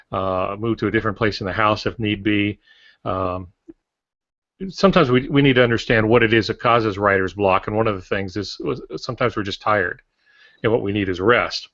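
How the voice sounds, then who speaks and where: Male co-host, sixties, lowish voice, casual explainer, slightly muffled video-call sound. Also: fairly bright tone. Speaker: podcast co-host, professional writer. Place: room lined with shelves of typewriters. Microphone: earbuds on a video call. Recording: dull video-call audio.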